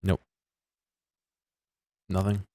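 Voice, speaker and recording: A man's voice: very slow, mellow, slight background noise. A man giving casual gameplay commentary as a streamer, musing aloud and often questioning himself. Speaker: video game streamer.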